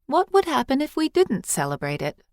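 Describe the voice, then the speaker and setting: Casting: adult woman as curious, excitable young girl for storybook fantasy, raised pitch, dry close sound. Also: normal speed, no echo, heavily acted. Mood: eager, curious. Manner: excited, questioning.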